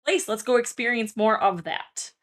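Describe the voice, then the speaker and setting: A woman's voice: fairly high pitch, animated, moderate pace. A woman co-hosting a podcast in a casual, reflective chat, encouraging and thoughtful, often prompting with questions. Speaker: podcast co-host, therapist.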